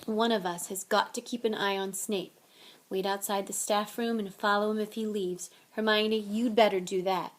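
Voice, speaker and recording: A woman's voice: medium pitch, steady tone, moderate pace, lightly acted. A woman voicing a determined, hot-headed boy hero in a storybook reading, with an intense, insistent delivery. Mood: urgent.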